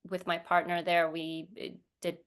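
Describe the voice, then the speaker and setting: A woman's voice: lowish voice, monotone, normal speed, clean tone. A woman from Iceland, a non-native English speaker, talking casually in an interview with hesitant phrasing and frequent restarts. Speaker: podcast guest, professional dancer.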